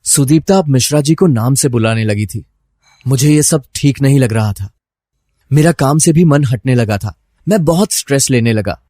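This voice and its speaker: A man's voice: mid-range voice, animated, normal speed, warm tone, no echo, clean studio sound, close to an everyday voice. A man acting as a troubled, suspicious husband who narrates his own story in a dramatized first-person style.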